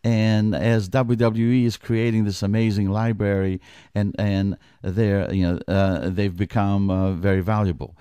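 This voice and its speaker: A man's voice: lowish voice, flat delivery, a little slow, warm tone, smooth, no echo. A middle-aged man from Greece, a wrestling commissioner, talking as a guest in a radio studio interview, earnest and prone to restarting his sentences.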